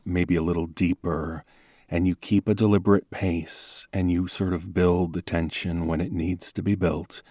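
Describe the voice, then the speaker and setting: Low-voiced man in interview conversation. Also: darkish tone, no echo, clean studio sound. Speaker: podcast host and producer, voice actor.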